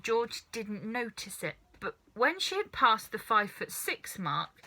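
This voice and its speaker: Female storybook reader, bright and expressive, with highly varied melody. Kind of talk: animated children's story narration.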